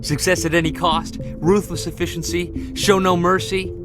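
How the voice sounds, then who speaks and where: A man's voice: sits high, little pitch movement, normal speed, music in the background, natural voice. An actor playing an eager, restless young male marine corporal in a video game. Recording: game audio with score.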